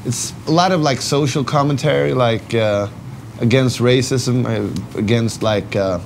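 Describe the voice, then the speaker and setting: A man's voice: mid-range voice, measured pace, vocal fry, a little mellow, slight background noise. A man with a Swedish accent, a band member giving casual interview answers with many fillers and restarts. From Sweden.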